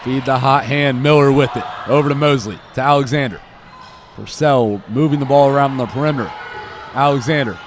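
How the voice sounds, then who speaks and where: A man's voice: medium pitch, a little fast. A young American man doing live basketball play-by-play in clipped phrases that follow the action. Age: young adult. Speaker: play-by-play commentator. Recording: live gymnasium commentary over loud court noise. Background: basketball bounces and gym noise.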